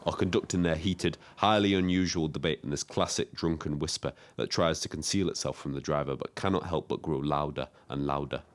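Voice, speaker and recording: Lonely British taxi driver, extremely deep voice, audio drama narration, measured, wry, observant. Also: male voice. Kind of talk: scripted first-person narration.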